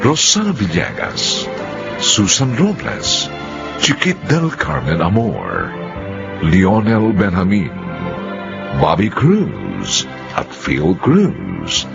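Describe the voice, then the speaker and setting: Very deep, gravelly Filipino radio announcer, male. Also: huge pitch swings. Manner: theatrical, solemn, deliberate. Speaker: narrator and announcer. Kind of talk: dramatic scripted radio narration and credits. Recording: muffled radio broadcast with music bed.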